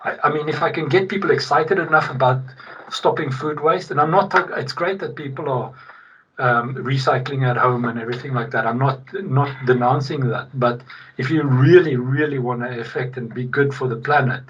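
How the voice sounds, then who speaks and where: A middle-aged man from South Africa, a guest in a casual podcast interview, talking in an animated, emphatic way with frequent restarts. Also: mid-range voice, moderate pace, slight background noise. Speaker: guest, logistics executive. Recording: distant, dull remote-call sound.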